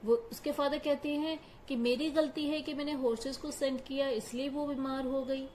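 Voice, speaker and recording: A woman's voice: sits high, steady tone, normal speed, darkish tone, a little hiss or hum. A woman teacher patiently explaining a story line by line, glossing each phrase in simple words. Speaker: English teacher.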